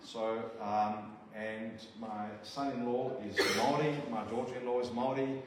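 An older man with a South African accent, a visiting preacher giving an earnest sermon and prayer with halting restarts. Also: mid-range voice, steady tone. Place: church. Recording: very echoey church from a distant mic with room noise.

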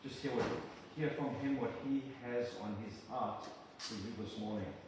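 An older man with a South African accent, a visiting preacher giving an earnest sermon and prayer with halting restarts. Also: medium pitch. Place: church. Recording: very echoey church from a distant mic with room noise.

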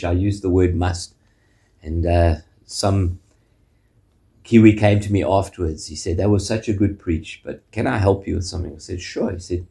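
Deep-voiced South African male preacher. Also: creaky, warm tone. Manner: measured preaching, tag questions with "eh?". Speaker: pastor. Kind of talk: sermon.

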